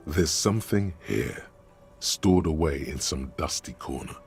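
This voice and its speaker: A very deep, gravelly inner voice narrates a game with measured, solemn, literary weight. Faint music sits behind him. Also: male voice, animated, heavily acted. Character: brooding all-knowing inner voice, male.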